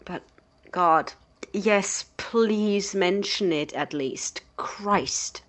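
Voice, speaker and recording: A performer acts out an exasperated roommate in an audio drama. The delivery is slow and blunt, full of sardonic disbelief. The pitch sits in a middle range. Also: a bit bright. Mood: weary, sardonic. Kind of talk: naturalistic audio drama acting.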